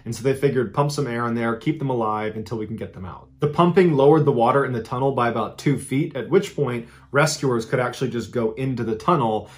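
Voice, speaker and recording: Host, man, 30s, animated true-story narration to camera. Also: medium pitch, fast talker, hardly any pauses. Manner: animated, conversational retelling with dramatic emphasis. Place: indoor studio with a green-screen backdrop.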